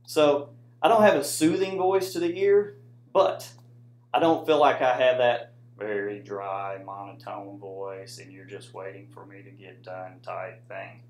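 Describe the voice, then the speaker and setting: A man's voice: fairly low pitch, fairly even intonation, measured pace, darkish tone, slight background noise. American man presenting in an echoey room. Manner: conversational, addresses audience with frequent "right?" tags. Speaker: student presenter, soldier. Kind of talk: informal classroom presentation with slides. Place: indoor room with audience.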